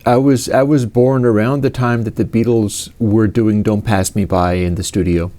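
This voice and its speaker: A man's voice: fairly low pitch, fairly even intonation, normal speed, dark tone. A man in his fifties from the United States, answering viewer questions in a casual, rambling way with many fillers and restarts. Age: 50s.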